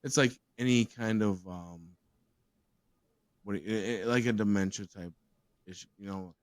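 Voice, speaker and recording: A man's voice: fairly low pitch, a little slow, no room sound, clean studio sound. A man hosting a casual podcast chat who rambles, swears freely and laughs often. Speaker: podcast host.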